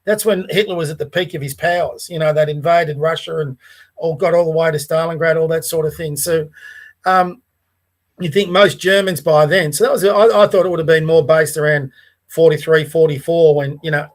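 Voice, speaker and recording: Earnest Australian man, high voice. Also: fairly even intonation, brisk. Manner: earnest, restarts and asides like "right?". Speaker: podcast host. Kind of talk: casual political discussion.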